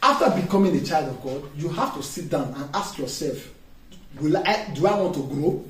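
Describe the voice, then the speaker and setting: A man's voice: on the high side, animated, moderate pace, a crowd in the background. A man, a pastor preaching a sermon with emphatic call-and-response questions to his congregation. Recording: echoey room, distant mic, faint noise.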